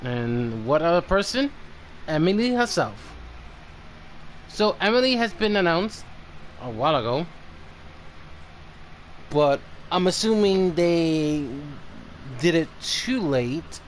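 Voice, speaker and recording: A man hosting a casual game live stream, chatting loosely and thinking aloud as he plays. His voice sits a little high. He speaks slowly, with long stretches between thoughts. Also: big pitch swings, slight background noise.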